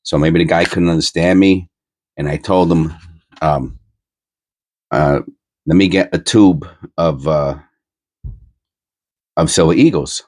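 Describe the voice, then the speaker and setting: A man's voice: low voice, takes it slowly, long pauses, darkish tone, no echo. A middle-aged man with a New York accent, nasal from a cold, rambling bluntly with many fillers as host of a coin channel. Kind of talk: rambling casual monologue.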